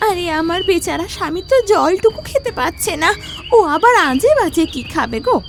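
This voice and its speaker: A woman voicing a furious, accusing village wife in a cartoon, scolding loudly. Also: very high-pitched, sing-song, a little fast, gravelly, noisy background, heavily acted. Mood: angry, accusing. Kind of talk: cartoon dialogue.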